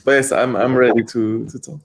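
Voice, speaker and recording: A young man from Morocco, whose first languages are Spanish and Darija, speaks over a video call. He talks in a halting way, restarting his sentences often. His voice sits at an ordinary pitch for a man. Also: fairly even intonation, vocal fry. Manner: hesitant, many restarts and fillers. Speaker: panel speaker. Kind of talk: online panel talk.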